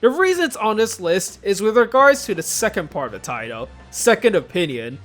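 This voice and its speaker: Give the voice of a video host delivering scripted countdown commentary in a casual, joking way. The pitch is highly animated, rising and falling freely. Faint music plays behind the voice. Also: brisk.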